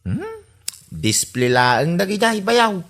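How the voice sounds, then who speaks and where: A man's voice: high-pitched, huge pitch swings, slow pace, a bit bright, full character voice. A man playing a bemused husband and brother-in-law with an Ilocano Filipino accent in a comedy radio drama.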